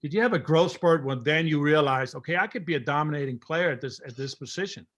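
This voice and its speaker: A man's voice: fairly high pitch, quick pace, fairly bright tone. A man in his sixties from Denmark, hosting a casual video-call interview with a friendly, chatty manner and a headset mic. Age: 60s. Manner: friendly, chatty, repeats words. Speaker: podcast host. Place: home room.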